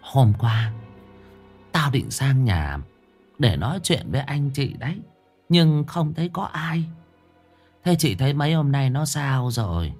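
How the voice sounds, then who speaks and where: A man voicing a secretive old village woman who speaks in a low, conspiratorial voice. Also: very animated, measured pace, pauses often, faint music behind. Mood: hushed. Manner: hushed, conspiratorial.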